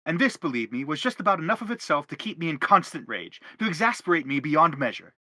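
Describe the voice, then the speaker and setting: A man's voice: fairly high pitch, big pitch swings, quick pace, pushed and bright, no echo, heavily acted. An actor playing an anguished middle-aged father in a stage drama, pompous, wordy and insistent as he justifies himself. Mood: pleading, self-justifying. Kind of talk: theatrical stage drama acting.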